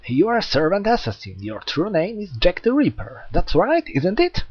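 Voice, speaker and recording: A man voicing an anxious, scheming magus who speaks with urgent, demanding excitability in an audiobook story. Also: sits high, moderate pace, husky, no room sound, lo-fi sound, voice pushed up, heavily acted. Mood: flustered, urgent. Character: anxious, scheming male magus summoning a servant.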